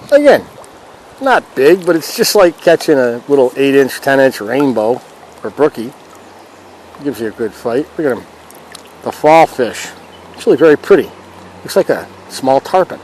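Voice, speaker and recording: A man's voice: medium pitch, a little mellow, low-quality recording. Folksy man chatting outdoors over river noise. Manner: chatty, folksy, talking while fishing. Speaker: fishing vlog host. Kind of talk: casual outdoor fishing commentary.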